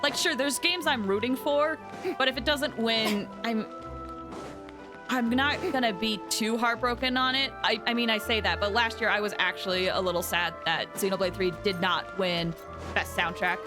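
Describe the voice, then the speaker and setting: A woman's voice: fairly high pitch, steady tone, moderate pace, over music. A woman chatting casually and animatedly on a game livestream, rambling as she talks to viewers.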